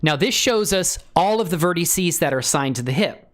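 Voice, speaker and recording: A man hosting a casual live software tutorial, exclamatory and given to interjections. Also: fairly high pitch, animated, normal speed. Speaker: livestream tutorial host.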